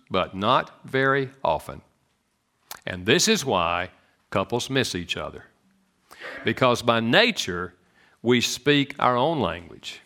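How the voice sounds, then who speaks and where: A man with a Southern US accent giving an animated, joking church talk on marriage to an audience. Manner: animated and joking, swoops in pitch. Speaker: speaker on marriage.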